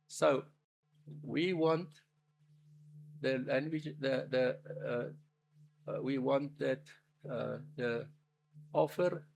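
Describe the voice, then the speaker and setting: Brief: Afrikaans-speaking man, South African accent, gravelly voice, halting formal submission as an attorney at a public hearing. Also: medium pitch, low-quality recording. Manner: halting, often restarting and searching for words.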